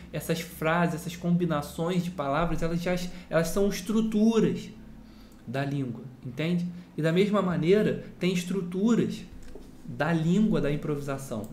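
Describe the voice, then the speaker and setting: A man's voice: high-pitched, a little mellow, slight background noise. A man from Brazil teaching guitar in a casual lesson, explaining with rhetorical questions and a lot of "look here" asides. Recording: roomy sound with guitar playing.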